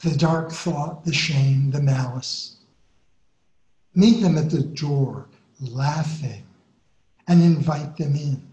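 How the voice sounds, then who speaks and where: Male meditation co-host, solemn and unhurried poetic delivery, measured pauses, echoing room sound. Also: on the high side, warm tone. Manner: measured, reverent, with long pauses. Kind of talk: slow, solemn poetry recitation and meditation welcome.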